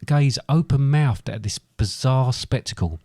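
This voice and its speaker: A man's voice: medium pitch, expressive, measured pace, dark tone, no echo, clean studio sound. A man reading scripted narration for a comic story.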